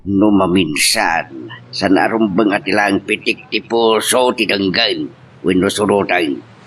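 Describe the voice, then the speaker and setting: A man acting a troubled Filipino man in a family radio drama, heard at some distance from the microphone. His voice is fairly low and carries an Ilocano accent. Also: slow, a bit bright, slight background noise. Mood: reflective.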